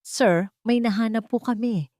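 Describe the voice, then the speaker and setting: A woman's voice: a little high, unhurried, a little mellow, no echo, clean studio sound, pitched above the performer's own voice, lightly acted. A woman voicing a male private investigator who reports politely and respectfully. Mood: matter-of-fact.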